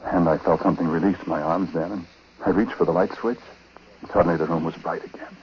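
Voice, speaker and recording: A man playing a haunted, condemned husband telling his story haltingly and dramatically in a 1940s radio drama. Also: low-pitched, fairly even intonation, lightly acted. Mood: haunted, tense. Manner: hushed, halting, dramatic first-person telling. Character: haunted condemned husband recounting his wife's uncanny death. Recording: noisy, muffled 1940s radio broadcast.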